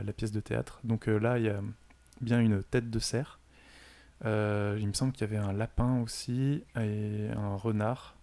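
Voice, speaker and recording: Lowish-voiced man, casual guest, halting delivery full of fillers. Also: gravelly, dark tone. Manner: hesitant, many fillers and restarts. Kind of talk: casual chat.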